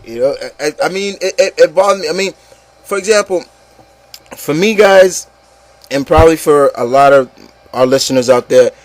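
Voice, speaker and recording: Man, slightly high voice, dry close sound, stammering, blunt, exasperated, casual opinion rant, swearing. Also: moderate pace, long pauses, dry recording. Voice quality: stammering, repeats words and phrases. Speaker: host of an opinion show.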